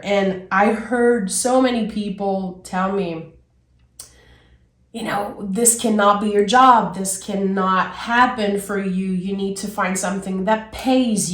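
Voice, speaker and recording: A woman in her thirties with a raspy, sick-hoarse voice talks slowly through a tarot reading, musing and enthusiastic, with plenty of ums. She sounds like she is in an echoey room. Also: medium pitch. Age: 30s. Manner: warm-hearted, enthusiastic, musing aloud with many fillers. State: hoarse from illness. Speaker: tarot reader. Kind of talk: casual spoken tarot reading.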